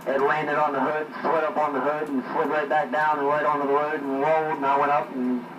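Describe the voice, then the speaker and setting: A man's voice: fairly high pitch, little pitch movement, fairly quick. Rambling man over CB static. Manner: rambling storyteller, many fillers. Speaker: tow truck driver on CB radio. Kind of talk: casual CB radio chat. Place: parked near a coffee shop. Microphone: CB radio handset. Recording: echoey distant CB radio with static.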